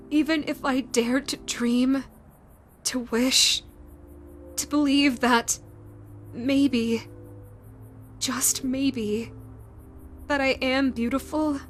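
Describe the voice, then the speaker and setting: A woman voicing a scarred, grieving female yokai ghost who hates herself, talking to the listener in a halting, stammering way. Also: high-pitched. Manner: halting, stammering, repeats words. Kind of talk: audio roleplay to the listener.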